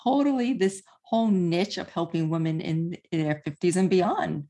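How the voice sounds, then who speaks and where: A woman in her fifties hosting an interview, chatty and quick to laugh. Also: on the low side. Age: 50s. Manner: warm, chatty, laughs often. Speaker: podcast host. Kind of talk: interview conversation.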